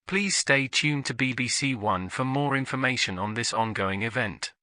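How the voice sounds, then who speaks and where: A man's voice: medium pitch, animated, moderate pace. A man reading a scripted emergency broadcast announcement in a formal, solemn manner as a continuity announcer.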